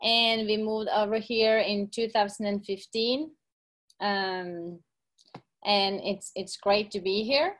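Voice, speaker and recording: Brief: middle-aged Norwegian woman, accented English, unhurried, pauses to search for words. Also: mid-range voice. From Norway. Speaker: podcast guest, gym owner and coach. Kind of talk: casual interview.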